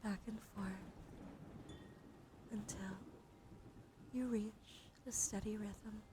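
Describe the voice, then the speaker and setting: Behind this voice there is clear background noise. A woman streams soft ASMR in a hushed, soothing tone at a middling pitch, often dropping into a whisper. Her throat sounds slightly strained, and she talks unhurriedly, close to the microphone. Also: steady tone, takes it slowly. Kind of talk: soft ASMR, guided relaxation and chat.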